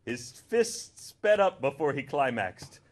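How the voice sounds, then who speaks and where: A man's voice: mid-range voice, sing-song, takes it slowly, a loud crowd. A man doing a comedic read-aloud on a livestream, theatrical and often breaking off to comment with a chuckle. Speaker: reader on a charity livestream. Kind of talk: comedic read-aloud of fan fiction with ad-libs.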